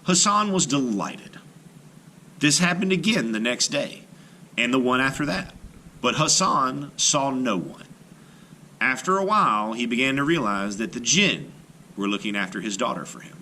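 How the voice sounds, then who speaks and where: A man's voice: mid-range voice, lively intonation, normal speed, pushed and bright, a little hiss or hum. A man reading a children's folktale aloud as a storyteller, in a scripted narration. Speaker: storyteller, art teacher.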